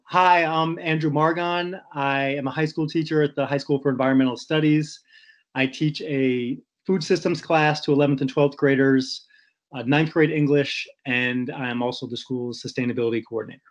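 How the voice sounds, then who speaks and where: A high school teacher originally from Brooklyn, explaining things in a clear, plain way. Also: male voice, fairly even intonation, normal speed. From Brooklyn, New York.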